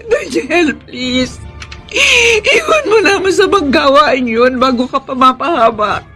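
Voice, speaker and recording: A woman acting as an anguished, pleading young wife and mother in a Filipino radio drama, with an emotional, drawn-out delivery. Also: high-pitched, lively intonation, fairly bright tone, soft background music. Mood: anguished, crying.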